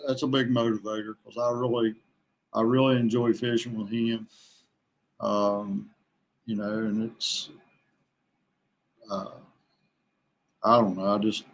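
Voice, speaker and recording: An older man with an average-pitched voice talks as a guest on a fishing podcast. His delivery is casual and unscripted. He moves haltingly, doubling back on words as he answers. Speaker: podcast guest, kayak angler. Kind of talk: casual conversational interview answers.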